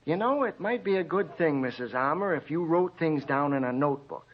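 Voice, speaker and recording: An actor playing a calm, probing American police detective, measured and reassuring, in an old-time radio drama. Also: male voice, a little high. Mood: coaxing, confidential. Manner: measured, coaxing questioning. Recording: muffled old radio recording.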